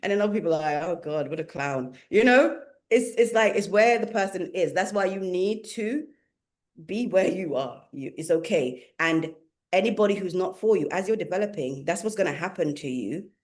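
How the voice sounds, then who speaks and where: A woman with a Jamaican accent who lives in England, a spiritual teacher talking as a guest in a casual conversation, playful and quick to laugh. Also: fairly low pitch. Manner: laughs often, playful and animated.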